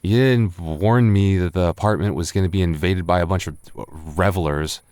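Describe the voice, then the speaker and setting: A man's voice: low voice, normal speed, darkish tone, no echo, natural voice. A young man acting a tipsy, flustered roommate hiding a crush in an intimate boyfriend roleplay, stammering and defensive in a conversational way.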